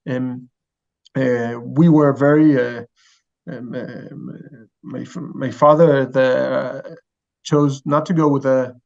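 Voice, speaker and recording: A man in his forties with a gravelly, creaky voice and an Israeli accent gives explanatory interview answers. He speaks haltingly, searching for words, and the audio is slightly dull. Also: medium pitch, fairly even intonation, a little mellow. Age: 40s. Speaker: chief rabbi, interview guest.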